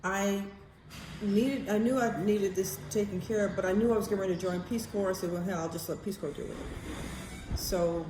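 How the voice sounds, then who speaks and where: A woman's voice: mid-range voice, normal speed. An American woman telling personal stories in a casual, rambling vlog style, candid and blunt as she thinks aloud. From United States. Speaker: vlogger. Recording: roomy echo, faint background noise.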